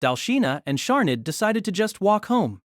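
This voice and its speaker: A woman narrator reads a light novel in a scripted storytelling style. Her pitch moves in a lively, animated way, and the recording is close and dry. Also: normal speed, dry recording. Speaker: narrator and reader.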